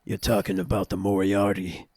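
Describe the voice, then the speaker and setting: A man playing a big barfly informant in an improvised scene, casual and wary, with a gravelly, husky voice. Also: low-pitched, completely flat delivery, moderate pace, darkish tone, dry recording. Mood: knowing.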